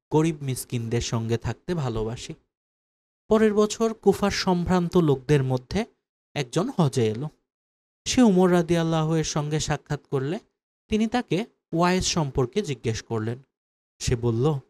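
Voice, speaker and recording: A man's voice: a little high, warm tone. A man reading scripted religious stories as a narrator.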